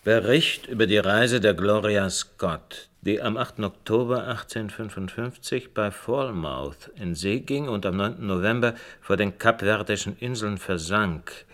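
A man's voice: on the low side, measured pace, few pauses, a bit bright. A man acting a sober young friend in a radio drama, talking and reading aloud.

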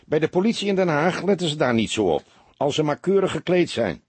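A man's voice: fairly high pitch, sing-song, fairly quick, husky, no echo, pitched above the performer's own voice. A man reading an audiobook as a heavyset, unflappable police detective with a teasing, mock-sincere manner. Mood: jovial, teasing.

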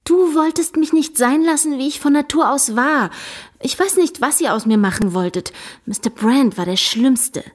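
Headstrong, high-voiced young daughter. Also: female voice, normal speed. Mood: indignant. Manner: direct, determined. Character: headstrong, impassioned young daughter defying her father. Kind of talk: radio drama acting.

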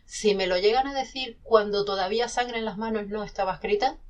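A woman's voice: mid-range voice, fairly quick, dull audio. A woman, a self-published novelist, talking animatedly in a casual podcast conversation with many restarts.

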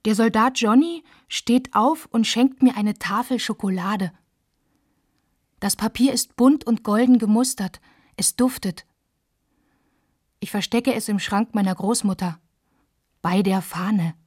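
A woman's voice: medium pitch, unhurried, long pauses, a little mellow, breathy edge, dry recording, studio quality. A woman playing an observant young girl who recalls wartime in first-person radio play narration. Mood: quiet, wondering.

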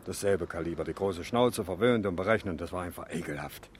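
Blunt, low-voiced garage boss played by an actor. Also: male voice, brisk, a little hiss or hum, lightly acted. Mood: confiding, scornful. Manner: brusque, colloquial. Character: blunt, busy garage boss, gruffly loyal, gossipy. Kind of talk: 1970s radio crime drama acting.

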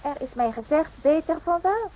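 An actress playing a reserved, dutiful young nurse in a radio drama, speaking in terse, broken phrases. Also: female voice, high-pitched, expressive, normal speed, warm tone, muffled recording. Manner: clipped, broken, foreign-sounding phrasing. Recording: faintly noisy old radio recording.

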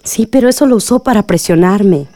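A woman's voice: medium pitch, lively intonation, fairly quick, warm tone, close to an everyday voice. A Mexican woman playing a principled, unyielding village mother in a radio soap opera, firm and formally polite. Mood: wary, resolute. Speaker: radio drama actor.

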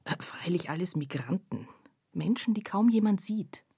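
A woman's voice: lowish voice, animated, moderate pace, creaky, mellow, husky, dry recording, clean studio sound, full character voice. A woman acting as a sardonic observer in a literary radio play. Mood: urgent, sardonic. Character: sardonic female observer commenting on a panorama.

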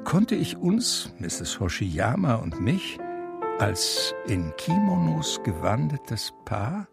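An actor playing a reflective man who tells his life story in measured literary radio drama narration. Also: mid-range voice, vocal fry, heavily acted. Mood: wistful. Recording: music under the voice. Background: music.

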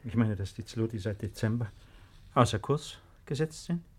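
Kind earnest baron in old radio drama, polite, pitch moves around a lot. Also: male voice, expressive, slightly rough. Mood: apologetic. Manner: courteous, measured, with hesitant repetitions. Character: kindly, earnest baron, a protective dreamer. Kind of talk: 1950s German radio play acting.

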